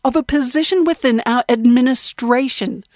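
A woman's voice: high-pitched, moderate pace, a little mellow, no echo, studio quality, lightly acted. A woman voicing a prim, imperious old woman who speaks formally and precisely in an audiobook. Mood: brisk, businesslike.

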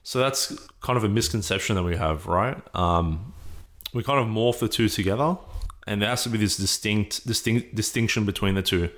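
Interview guest, man, low voice, patient and earnest, explains step by step. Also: normal speed, fairly bright tone. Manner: explains patiently, often checks with "right?".

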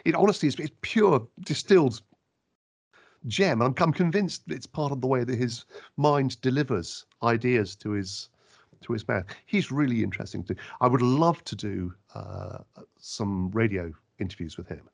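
A man who works as a radio interviewer, answering questions in an animated, emphatic way with frequent restarts. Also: medium pitch, moderate pace, vocal fry.

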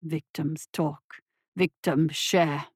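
A woman voicing an ancient, cruel male tortoise monster who rumbles and growls in slow, dismissive pronouncements for a fantasy story. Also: fairly even intonation, breathy edge, no room sound, studio quality, exaggerated voice.

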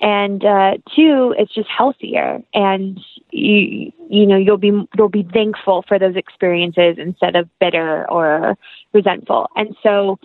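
A woman's voice: medium pitch, a little slow, no echo. Earnest young woman on a phone-like line. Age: young adult. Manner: earnest, heartfelt, rambling. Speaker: podcast guest, actress. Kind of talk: casual interview chat.

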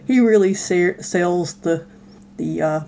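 A woman's voice: lowish voice, slow, creaky, mellow. A woman chatting casually as a podcast guest, informal and a little hesitant. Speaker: guest co-host. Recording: noticeable background noise.

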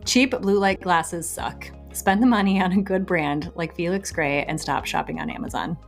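A woman co-hosting a podcast speaks in a calm, soothing voice that sits fairly low. She delivers quick business tips plainly and matter-of-factly. Faint music plays underneath. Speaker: podcast co-host. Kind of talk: rapid-fire podcast tips.

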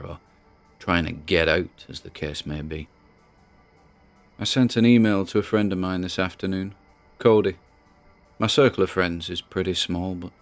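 An actor playing an anxious, haunted man who records a confessional audio diary, hesitant and brooding with a wry edge. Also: low-pitched. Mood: weary, anxious, then wry, reflective.

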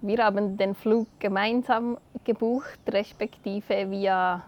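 A woman's voice: mid-range voice, steady tone, slow, lo-fi sound. A woman co-hosting a casual podcast, teasing and laughing often, recorded outdoors in a garden. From Switzerland. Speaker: podcast co-host. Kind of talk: casual chat.

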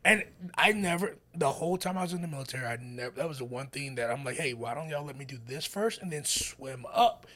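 A man in his forties, a military veteran guest, telling stories in casual chat and acting out quotes with loud bursts. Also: fairly high pitch, fast, few pauses. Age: 40s. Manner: animated storyteller who acts out quotes with sudden loud bursts.

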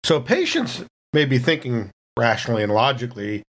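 Male tabletop player, average pitch, measured and wordy while narrating his character. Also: very animated.

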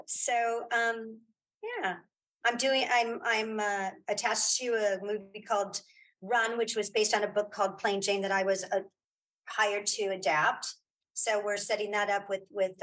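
A woman's voice: mid-range voice, a bit bright, low-quality recording. A woman speaking as a guest in a casual interview, chatty and informal, often restarting her sentences. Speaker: interview guest, audio drama creator.

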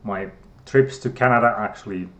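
A man's voice: fairly low pitch, normal speed, fairly bright tone. A middle-aged man from Finland with a Finnish accent, a guest scientist who talks hesitantly with many fillers and restarts. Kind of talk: casual interview talk.

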